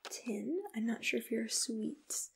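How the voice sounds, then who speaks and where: A woman with a fairly high, breathy voice, mostly whispering in a soft ASMR roleplay. She addresses the listener gently and personally like an unhurried assistant, close to the mic, with faint noise and small clicks behind her. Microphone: close-up microphone.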